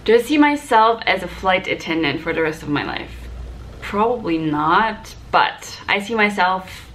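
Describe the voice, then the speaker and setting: A woman's voice: fairly low pitch, fairly bright tone, low-quality recording. A woman in her twenties, a native German speaker, chatting casually and explaining things to camera in a question-and-answer vlog. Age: 20s. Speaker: vlogger, flight attendant. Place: small hotel room, indoors. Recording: clear background room noise.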